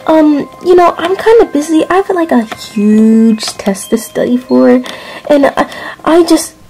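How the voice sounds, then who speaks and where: A woman's voice: high voice, expressive, a little slow, creaky, dark tone, heavily acted, rough recording. A woman voicing a jumpy eighteen-year-old girl who stammers and fills with "um"s while covering up a secret, in a toy-figure drama. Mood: flustered. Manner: flustered, stammering, full of "um"s.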